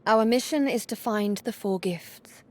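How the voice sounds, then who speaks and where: A woman's voice: mid-range voice, close to an everyday voice. A woman playing a dutiful, solemn young Valkyrie warrior in a video game, speaking formally and sternly. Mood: solemn, resolute. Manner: formal, stern, measured diction. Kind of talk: video game cutscene acting. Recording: music behind the voice.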